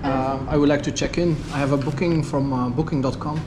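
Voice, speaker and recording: A man's voice: medium pitch, fairly even intonation, fairly quick. Chatty man vlogging, noisy handheld sound. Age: 30s. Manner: chatty, thinking aloud. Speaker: travel vlogger. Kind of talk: casual spoken-as-it-happens travel vlog narration. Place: city street, then hotel lobby, lift, corridor and room. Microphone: action camera held at arm's length. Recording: noisy handheld camera audio with street and room ambience.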